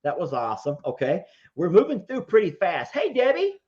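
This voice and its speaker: A man's voice: high-pitched, wildly swooping pitch, a little fast, a loud crowd. A man hosting a call-in show, chatty and rambling, who laughs easily. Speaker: show host taking callers. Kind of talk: live call-in chat. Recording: slightly muffled audio.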